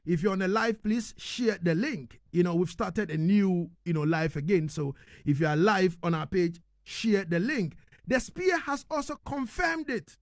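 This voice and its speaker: A man's voice: sits high, animated, normal speed, no room sound. A man hosting a live radio interview as presenter.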